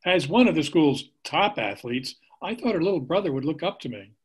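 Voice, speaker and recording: A man's voice: fairly high pitch, lively intonation, a little fast, slightly rough. A middle-aged actor playing a dad who gets defensive and indignant about his past, on a video call.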